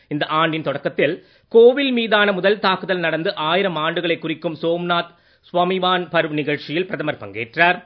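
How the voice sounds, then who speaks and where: Man, Indian Tamil accent, fairly high voice, formal scripted news reading, slightly muffled broadcast sound. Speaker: newsreader.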